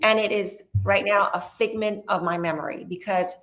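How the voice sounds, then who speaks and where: Middle-aged woman from Venezuela, interview guest, earnest and measured, roomy echo. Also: mid-range voice, steady tone, a bit bright. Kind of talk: podcast interview. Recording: echoey room.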